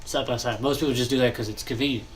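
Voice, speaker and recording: Stuffed-up young gamer host, loose thinking-aloud delivery, middle pitch, light background hiss. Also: male voice, fairly even intonation, racing. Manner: rambling, thinking aloud, frequent coughs and throat clearing. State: congested, nasal. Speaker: gaming channel host. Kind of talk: casual commentary while building in a game.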